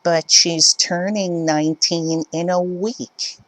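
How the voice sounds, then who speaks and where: A loud, high-pitched entitled mother who scolds and demands without letting up, as a male storyteller puts her on. Her voice is pushed up well above his own and sounds strained and pinched, as if put on. The recording is dry and close. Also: fairly even intonation, slow pace, no echo. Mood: indignant. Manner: indignant, demanding, shouting. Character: shrill entitled middle-aged mother shopper.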